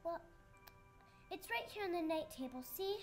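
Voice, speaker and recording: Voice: extremely high pitch, animated, moderate pace, faint music behind. A performer playing a serious, skeptical little girl in a radio play, plain-spoken and wistful. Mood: earnest. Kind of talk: stage-style radio play acting.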